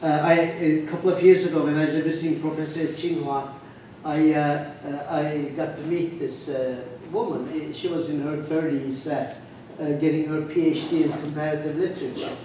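Older man, halting talk in an echoey hall. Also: a little high, steady tone, mellow. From Turkey. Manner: halting, many restarts and fillers. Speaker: guest speaker. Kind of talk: academic lecture discussion. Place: large lecture room. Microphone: podium microphone, standing. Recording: echoey room, distant mic, faint noise.

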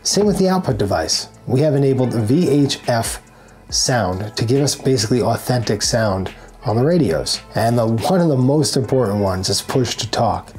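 A man in his forties presents a scripted tutorial, speaking to camera in an instructional way. His voice sits at a middle pitch. Music plays behind the narration. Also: animated, normal speed, a little mellow. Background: background music.

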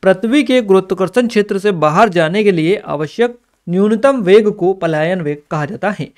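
A man's voice: high voice, animated, moderate pace. A man giving an instructive exam-review lesson, reading notes aloud and explaining them as a coaching teacher. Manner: instructive, repeats key points.

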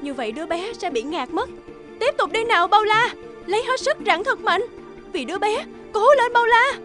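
A female hospital medic from a cartoon drama. She is concerned and earnest, with a high-pitched voice. Music plays faintly behind her. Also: animated, moderate pace, fairly bright tone. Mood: urgent. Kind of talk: dubbed cartoon drama acting.

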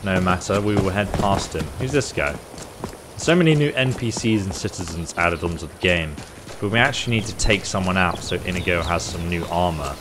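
A man commentating a video game he is playing, excitable and quick to exclaim. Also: noisy background. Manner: excitable, exclaims with big pitch jumps. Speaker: gaming YouTuber. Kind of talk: let's-play commentary. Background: game music.

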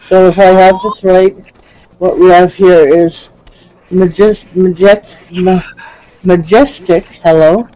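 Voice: medium pitch, little pitch movement, takes it slowly, mellow, poor audio. A person chatting casually on a video call, gushing playfully and stumbling over words now and then. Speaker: virtual tour participant.

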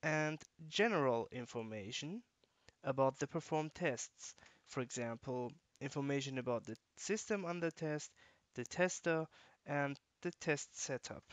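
A man giving scripted, measured narration for a software screencast tutorial, explaining features step by step. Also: mid-range voice, no echo. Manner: measured, instructional.

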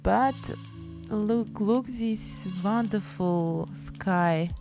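A woman's voice: medium pitch, very slow, over music. A woman in her thirties with a Ukrainian accent, talking in chatty broken English while filming a travel and painting vlog on her phone. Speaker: painting vlogger. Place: beach outdoors and art studio. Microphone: handheld phone camera at arm's length.

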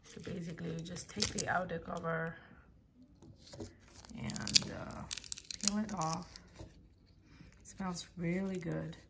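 A woman at home at a wooden table, casually demonstrating a gardening task at an unhurried pace with many fillers and self-corrections. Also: on the low side, level tone, gravelly, very noisy.

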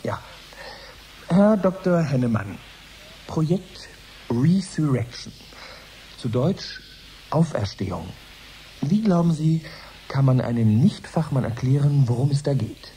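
Radio play casting: formal science broadcaster, courteous, measured, dark warm tone, lightly noisy old recording. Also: male voice, medium pitch, animated. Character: courteous male radio science reporter hosting live broadcast. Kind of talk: radio play styled as live news broadcast.

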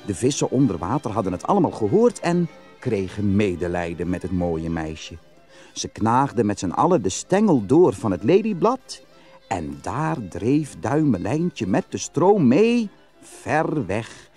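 A man narrating a fairytale as a storyteller in an expressive, scripted style. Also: medium pitch, husky. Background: music.

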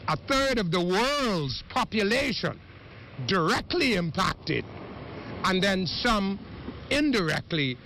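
A man interviewing passers-by on the street as a reporter, asking questions slowly and conversationally. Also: sits high, big pitch swings, gravelly, bright, forceful tone, poor audio. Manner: slow, measured, conversational questioning. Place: outdoors, street. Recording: faint street noise outdoors.

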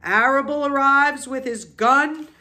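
Woman putting on a blunt, loud old sheep. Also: high voice, unhurried, voice pushed up. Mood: emphatic. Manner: blunt, emphatic, snappish. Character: old female sheep, blunt, gossipy bearer of grim news. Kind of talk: children's storybook character voice.

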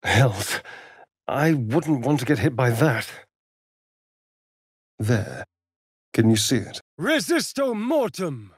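A man's voice: mid-range voice, big pitch swings, slow, long pauses, breathy edge, no room sound, studio quality, heavily acted. A man with a British accent playing a vain, sardonic immortal vampire rogue in a fantasy video game, theatrical and arch. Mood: casting, forceful. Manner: arch, drawling, flamboyant.

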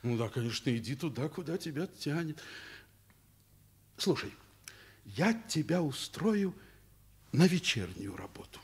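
An actor playing a principled, fatherly male school headmaster in a radio drama, speaking deliberately and emphatically with pauses between phrases. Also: fairly high pitch, sing-song, slightly rough, full character voice. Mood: gentle, concerned. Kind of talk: Soviet radio drama acting.

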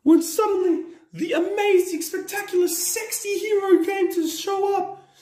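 A performer voicing an excitable, bossy, whiny young cartoon koopa boy in a comedy skit. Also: extremely high pitch, measured pace. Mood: grandly announcing.

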